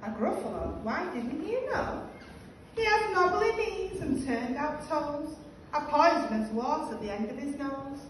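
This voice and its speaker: A woman's voice: high-pitched, lively intonation, unhurried. A woman voicing a small, polite but boastful trickster mouse in a sing-song storybook manner. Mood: teasing. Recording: roomy, distant classroom recording, faint noise.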